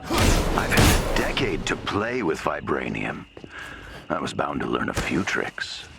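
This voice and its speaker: Deep slow taunting game villain, menacing, gravelly, music behind. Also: male voice, wildly swooping pitch, heavily acted. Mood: mocking. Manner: mocking, taunting, theatrical. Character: gloating, menacing male arms-dealer villain, middle-aged. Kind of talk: dramatic video game acting. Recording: loud game score behind.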